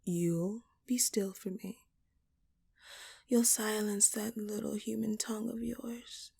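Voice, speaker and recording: A woman playing a dominant, hungry female vampire in an audio roleplay, taunting and menacing her prey in a condescending, breathy voice. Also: mid-range voice, steady tone, mellow, heavily acted. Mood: hushed, possessive. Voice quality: breathy, often dropping toward a whisper. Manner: slow, teasing, condescending, menacing. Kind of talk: audio roleplay acting, addressed to the listener.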